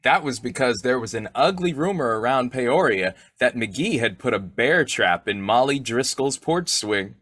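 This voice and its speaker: Male actor as folksy, wheedling comic radio husband; very lively intonation, close dry sound. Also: medium pitch, normal speed, hardly any pauses, dry recording. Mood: wry, teasing. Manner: folksy, exclamatory, chuckling delivery. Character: boyish, wheedling, wisecracking husband of old-time radio comedy. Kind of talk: 1940s radio comedy acting.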